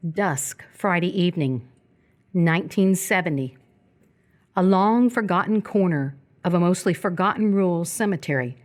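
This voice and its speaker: A woman reading scripted narration for live radio theatre in a measured, descriptive way. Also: on the low side.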